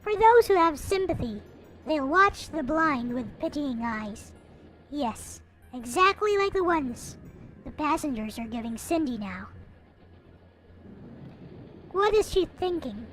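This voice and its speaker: A woman with a high-pitched voice reads a story aloud in a playful, theatrical way. Her intonation swings widely, and she slips into casual asides. Soft music plays behind her. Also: animated, slow pace, long pauses. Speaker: host reading a visual novel aloud. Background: background music.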